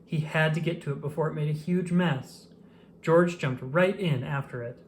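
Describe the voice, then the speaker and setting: A woman reading a children's picture book aloud in an expressive storytelling manner. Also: low voice, normal speed, slight background noise. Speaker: storybook reader. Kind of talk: children's read-aloud narration.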